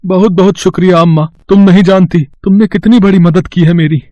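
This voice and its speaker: Man playing a high-voiced, troubled poor villager. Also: brisk, no echo, muffled recording, close to an everyday voice. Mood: grateful. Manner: earnest, plaintive, expressive. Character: poor, troubled, kind-hearted village vegetable seller.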